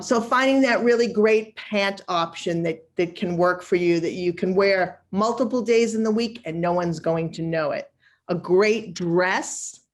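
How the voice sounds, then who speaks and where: A woman with a Boston accent, a wardrobe stylist talking casually in a live online session, chatty and emphatic, laughing easily. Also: medium pitch. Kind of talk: casual live webinar talk.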